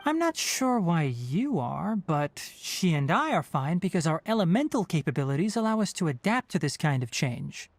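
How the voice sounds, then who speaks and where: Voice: mid-range voice, big pitch swings, moderate pace, few pauses, no echo. An actor voicing a composed, clinical young scholar in a video game, speaking in a precise, formal, lecturing way. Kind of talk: scripted video game dialogue.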